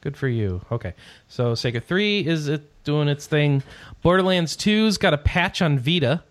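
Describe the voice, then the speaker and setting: A man's voice: fairly high pitch, lively intonation, unhurried, dry recording. A man hosting a casual podcast, reading out gaming news with rambling, sarcastic asides that trail off into mumbles.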